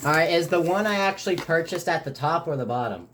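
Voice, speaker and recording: Casual unboxing host, mid-pitched voice, halting and wry, clearly noisy desk recording. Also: expressive. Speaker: host unboxing weather radios. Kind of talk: casual live chat and unboxing. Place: at a desk indoors. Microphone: desk microphone set a bit low. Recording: noisy desk microphone with clicks.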